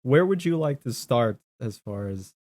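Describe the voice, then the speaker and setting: A man's voice: mid-range voice, expressive, a little slow, darkish tone, no echo. A man hosting a casual political chat show, talking in a blunt, dismissive way. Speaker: podcast host.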